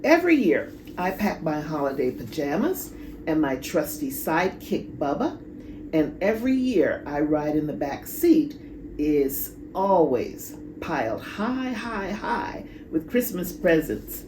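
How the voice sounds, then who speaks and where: Animated, unhurried woman reading a storybook. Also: deep voice, roomy sound, noisy background. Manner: animated, drawn-out emphasis. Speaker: children's storybook reader. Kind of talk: expressive read-aloud storytelling with asides.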